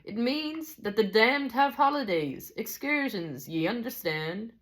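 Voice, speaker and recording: A woman voicing a wise old Scottish teacher, patient and deliberate, with an attempted Scottish accent and old-fashioned phrasing. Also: on the high side, expressive, fairly bright tone, voice pushed up. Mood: patient, instructive.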